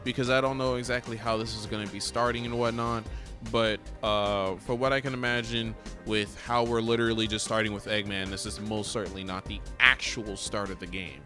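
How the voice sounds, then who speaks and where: A man giving casual reaction commentary in a musing, halting, rambling way, as if thinking out loud. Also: fairly low pitch, steady tone. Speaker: reaction video host. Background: loud video game cutscene music and sound.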